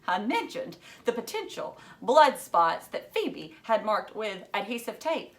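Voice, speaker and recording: A woman's voice: mid-range voice, sing-song, a little slow. Woman reading a children's novel aloud. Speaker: read-aloud narrator. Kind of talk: expressive children's novel narration.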